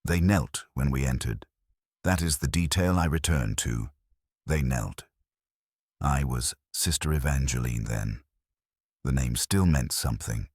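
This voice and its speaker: A very deep-voiced man reads a scripted dramatic story. His delivery is solemn, measured and clipped, yet his pitch moves in a lively way. The sound is dry and close. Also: no room sound. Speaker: narrator. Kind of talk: scripted first-person dramatic story narration.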